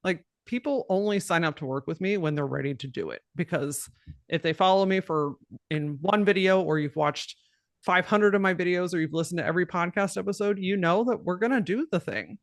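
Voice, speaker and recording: A woman with a low voice talking bluntly and directly as a podcast guest, cussing freely and laughing often. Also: a little fast, no echo, studio quality. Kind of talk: casual podcast conversation.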